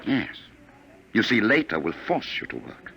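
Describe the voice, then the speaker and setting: An actor playing a smug, menacing government agent from the future, coolly patient and mocking, in a 1950s radio drama. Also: male voice, lowish voice, big pitch swings, moderate pace, lo-fi sound. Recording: noisy 1950s radio recording.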